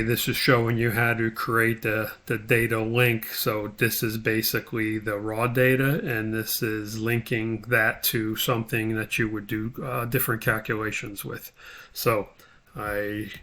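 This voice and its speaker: Creaky-voiced man walking through a tutorial. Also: on the low side, flat delivery, a little slow, pushed and bright. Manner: thinks aloud step by step while demonstrating. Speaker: instructor. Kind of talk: informal spreadsheet screen tutorial.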